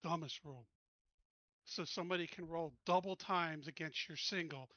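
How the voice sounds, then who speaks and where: A man's voice: a little high, normal speed, bright, forceful tone, no echo, highs cut off. A man playing in a tabletop game, insistent and complaining about a rule. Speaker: player. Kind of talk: casual chat.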